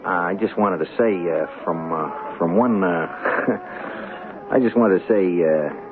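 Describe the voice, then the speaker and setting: An actor playing a shifty small-time hustler in a radio drama, talking slangy, hesitant and streetwise. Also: male voice, sits low, slow, over music. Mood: flustered. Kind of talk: radio drama acting with first-person narration. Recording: tinny 1970s radio broadcast, crowd behind.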